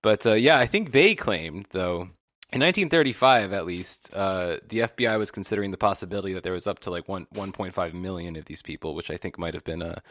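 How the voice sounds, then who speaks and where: A man's voice: low-pitched, fast talker, a bit bright, dry recording, clean studio sound. A man co-hosting a podcast, chatting informally about history with some swearing. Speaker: podcast co-host. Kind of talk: casual history discussion.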